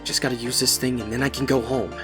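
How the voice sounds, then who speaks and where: A man playing a bewildered, foul-mouthed ordinary man who mutters to himself or yells in exasperation, in an audiobook. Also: mid-range voice, steady tone, fast, music in the background, close to an everyday voice. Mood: uneasy, self-reassuring. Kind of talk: audiobook character acting.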